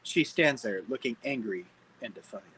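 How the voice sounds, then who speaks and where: A man narrates a sci-fi script at a table read. His voice is bright and forceful at a medium pitch, announcing scenes and reading out the stage directions. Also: animated, normal speed, a little hiss or hum, low-quality recording.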